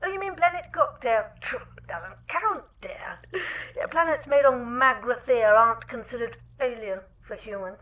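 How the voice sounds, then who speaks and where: Breezy, bright, patronising space traveller. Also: female voice, a little high, expressive, moderate pace, lo-fi sound. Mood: amused, condescending. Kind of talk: sci-fi comedy audio drama.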